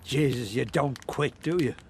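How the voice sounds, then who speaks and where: An actor playing a kindly, eccentric older Irish man in a radio play, hesitant but gently insistent and teasing. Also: medium pitch, normal speed, slight background noise, close to an everyday voice. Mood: wry.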